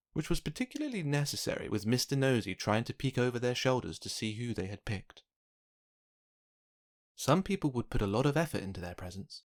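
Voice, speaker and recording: A man narrating a children's picture book, reading aloud with expressive, dramatic emphasis. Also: medium pitch. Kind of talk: scripted storybook narration for children.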